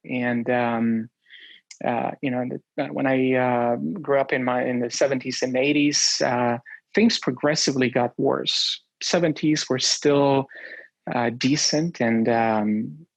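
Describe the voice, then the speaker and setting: A man in his forties, originally from Romania, telling his life story in an interview, with many pauses and fillers. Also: medium pitch, steady tone, vocal fry, no echo, poor audio. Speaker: guest.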